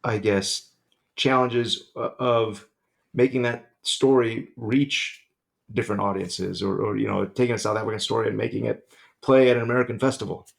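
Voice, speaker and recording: Creaky-voiced male podcast host. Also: fairly low pitch, steady tone, normal speed. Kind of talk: casual interview chat.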